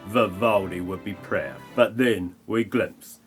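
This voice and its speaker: A man giving deadpan, mock-grand narration for a comic parody audio play. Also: fairly low pitch, slow, soft background music.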